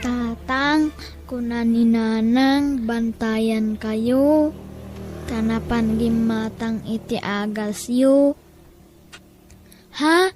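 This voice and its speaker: A woman's voice: a little high, very slow, pauses often, music in the background. A woman playing an innocent, dutiful young grandchild in an Ilocano audio drama, childlike and earnest, with an Ilocano Filipino accent. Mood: puzzled, anxious. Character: dutiful, innocent young grandchild looking after sick parents. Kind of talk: Ilocano radio-style audio drama acting.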